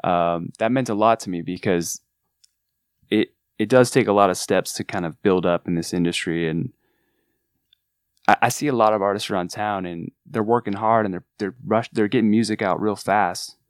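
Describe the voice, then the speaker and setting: Very deep-voiced Californian man. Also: normal speed, no room sound, clean studio sound. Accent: Californian surfer accent. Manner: earnest, enthusiastic, with frequent restarts. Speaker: artist manager. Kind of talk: casual podcast chat.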